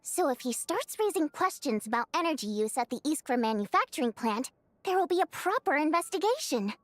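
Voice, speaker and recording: An actress voicing an upbeat young woman who leads an underground group in a video game, speaking briskly and confidently as she lays out a plan. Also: high voice, sing-song, no room sound, faint music behind. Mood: scheming. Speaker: game character. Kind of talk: voiced video game dialogue.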